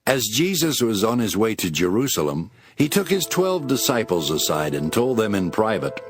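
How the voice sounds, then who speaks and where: Expressive low-voiced scripture narrator. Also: male voice, normal speed, faint music behind. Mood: sober. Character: male scripture narrator, sober and clear storyteller.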